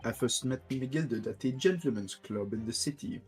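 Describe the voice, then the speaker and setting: Haughty gentleman witness for a courtroom game, played by a man: pompous, wordy, indignant, medium pitch, music underneath. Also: lively intonation, normal speed, lightly acted. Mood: self-important. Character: haughty, indignant gentleman witness, a well-paid communications officer. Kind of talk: fan-dub courtroom game read-aloud.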